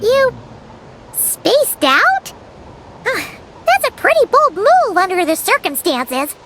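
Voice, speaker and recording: A woman voicing a tiny, chirpy, childlike fairy companion with a squeaky voice in an anime video game. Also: big pitch swings, slow pace, noisy background, full character voice. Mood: puzzled. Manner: cute, refers to self in third person.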